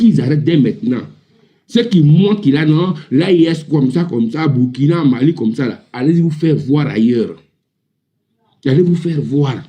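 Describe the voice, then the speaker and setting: A man's voice: fairly high pitch, dark tone, muffled recording. A man with an Ivorian accent speaking indignantly and emphatically in a heated, informal live political debate, often repeating himself. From Ivory Coast. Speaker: panel debate participant.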